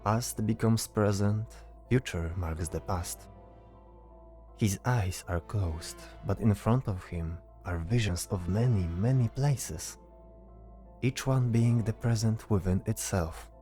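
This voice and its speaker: A man's voice: fairly low pitch, slow pace, dark tone, faint music behind. A man narrating a scripted fantasy story as the narrator of an audio drama.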